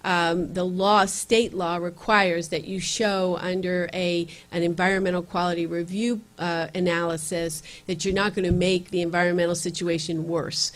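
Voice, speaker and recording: A county legislator, a woman, addresses a community meeting in a room and pauses often with fillers and restarts. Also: on the low side, level tone. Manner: earnest, with frequent fillers and restarts. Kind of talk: campaign speech at community meeting.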